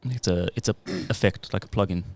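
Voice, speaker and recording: A man's voice: sits low, very animated, brisk, vocal fry, a little mellow, no room sound. A man chatting casually as a guest musician explaining his craft, with a laid-back, jokey, slangy way of talking. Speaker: guest talk box musician.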